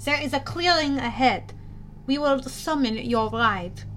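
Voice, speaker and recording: A woman voicing a menacing male monster posing as a doctor, in a slow, formal, commanding manner for an audiobook. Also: high voice, animated, a bit bright, a little hiss or hum.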